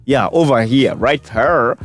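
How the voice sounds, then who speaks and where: A man's voice: mid-range voice, unhurried, faint music behind. A Ugandan man hosting a radio talk show in casual comedic banter, with a playful, teasing manner.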